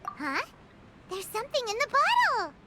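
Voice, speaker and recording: A tiny fairy companion, squeaks in a very high, bouncy voice that leaps up and down. She sounds endlessly curious and excitable, as in a game cutscene. A little noise sits under her voice. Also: moderate pace, heavily acted. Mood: excited. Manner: squeaky, wide-eyed exclamations. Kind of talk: game voice acting.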